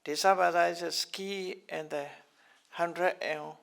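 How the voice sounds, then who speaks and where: A slightly high, gravelly older man who speaks English as a second language, teaching a class. He talks very slowly, stops and repeats each term, and chuckles often. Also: fairly even intonation, fairly bright tone. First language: not English. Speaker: Buddhist teacher. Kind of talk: explaining scriptural terms to a class.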